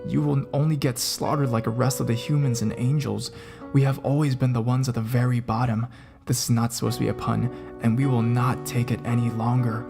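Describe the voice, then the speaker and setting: A man voicing a pale male demon who menacingly urges the listener to join his war, speaking slowly and gravely over guitar music. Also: medium pitch, flat delivery, close to an everyday voice.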